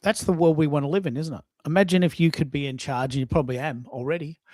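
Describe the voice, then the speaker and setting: A man hosting a live online audio show, chatting casually and thinking aloud in a halting way, often repeating himself as he addresses listeners. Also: on the high side, a little mellow, dry recording.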